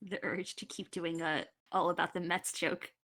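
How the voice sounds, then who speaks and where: A woman's voice: lowish voice, level tone, normal speed, a bit bright. A woman bantering casually as a podcast panelist, with a wry, playful way of talking.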